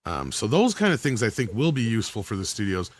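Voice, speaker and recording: A man with a fairly low voice talks loosely on a podcast panel. He rambles a bit and thinks aloud as he goes. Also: big pitch swings, quick pace. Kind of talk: casual panel chat.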